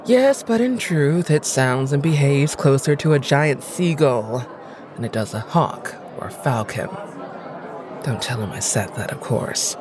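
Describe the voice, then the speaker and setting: A woman playing a haughty, cynical noblewoman in an audio drama, with an arch, aristocratic and deliberate manner. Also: very deep voice, lively intonation, background noise. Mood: wry, gossipy. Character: haughty, cynical, insistent noblewoman, lady of the house.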